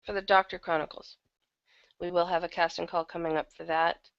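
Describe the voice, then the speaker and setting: Female interview guest, conversational. Gravelly fry, dry and slightly dull recording. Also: fairly low pitch, flat delivery, brisk, no echo. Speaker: guest, audio drama producer. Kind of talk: casual interview talk.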